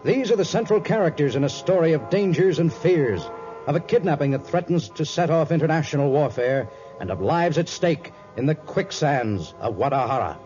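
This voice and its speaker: Suspenseful higher-voiced male radio narrator. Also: lively intonation, moderate pace. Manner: suspenseful, declamatory. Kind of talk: dramatic scripted 1950s radio serial narration. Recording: dull vintage radio recording. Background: loud dramatic music.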